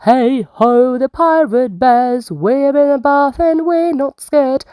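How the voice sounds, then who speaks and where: Woman acting young bear cub, storybook pirate play, high pitch, sing-song, emphatic, childlike, boisterous. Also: slow pace, warm tone, clean tone, dry recording. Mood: merry singing. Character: boisterous young bear cubs, playful little would-be pirates.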